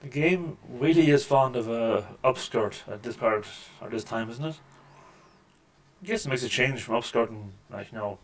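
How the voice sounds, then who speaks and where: A man with a deep voice hosting a casual let's-play, making wry, joking remarks over the gameplay at a desk microphone. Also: normal speed. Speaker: gaming channel host. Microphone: desk microphone with mixer.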